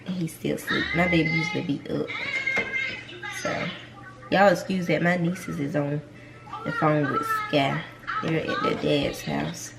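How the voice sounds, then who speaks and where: A young Southern woman talks to her viewers like friends, a little stuffy, rambling and circling back to repeat herself. Her voice sits low with a gravelly edge. The home recording carries a steady hiss of noise. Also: measured pace, pauses often. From North Carolina. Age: young adult. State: stuffy nose. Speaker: vlogger and mom. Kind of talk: casual vlog chat. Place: home kitchen.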